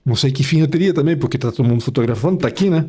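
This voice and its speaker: A man, hosting a casual interview with chatty, quick interjections. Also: mid-range voice, animated, warm tone, husky. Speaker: interview host.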